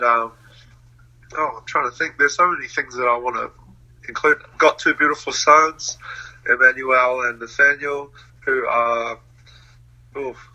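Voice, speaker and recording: A man's voice: mid-range voice, sharp tone, low-quality recording. A young man from New Zealand, talking casually as an interview guest, laughing often between hesitant fillers.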